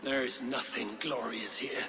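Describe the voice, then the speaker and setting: An actor playing a stern, disgusted Viking warrior with a fierce temper in a video game, grim and blunt. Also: male voice, medium pitch, fairly even intonation, unhurried, noisy background, lightly acted. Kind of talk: video game cutscene acting.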